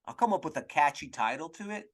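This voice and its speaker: A man hosting a comedy podcast, rambling through an irreverent, profane monologue in a halting, digressive way. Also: fairly high pitch, animated, a bit bright. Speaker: podcast host.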